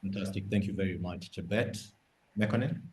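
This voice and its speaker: Deep-voiced male panel moderator. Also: brisk, a little mellow. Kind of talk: hosting a virtual business panel discussion. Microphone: video call.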